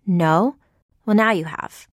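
A woman's voice: on the low side, slow pace, no room sound, studio quality. A woman reading a scripted ad as a company founder, conversational and earnest. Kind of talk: scripted ad narration.

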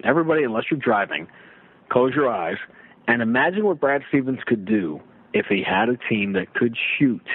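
A man's voice: mid-range voice, vocal fry, breathy edge. A middle-aged man giving emphatic, conversational basketball analysis as a sports radio guest, often building his points with rhetorical questions. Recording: slightly muffled, limited-band radio-like audio.

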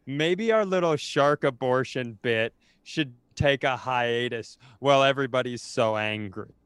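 A man's voice: mid-range voice, a little slow, a bit bright, clear, resonant tone, no room sound. A man co-hosting a podcast, telling stories casually in a rambling, self-interrupting way with dry, self-deprecating humour. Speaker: podcast co-host. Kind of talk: casual comedic chat and storytelling.

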